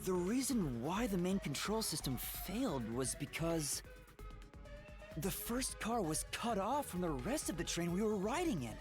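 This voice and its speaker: A woman's voice: lowish voice, big pitch swings, a little slow, music in the background. A woman voicing an earnest, anxious teenage boy detective who explains carefully, in an anime-style video game. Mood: earnest, reasoning.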